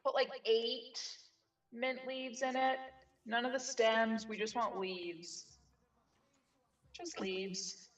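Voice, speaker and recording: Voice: medium pitch, fairly even intonation, slow pace, long pauses, a bit bright, distant mic, highs cut off. A young adult co-host in their twenties, casually walking others through a cocktail and bossing them between steps, with some swearing. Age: 20s. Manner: bossy, instructive, swearing. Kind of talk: casual chat while making a drink.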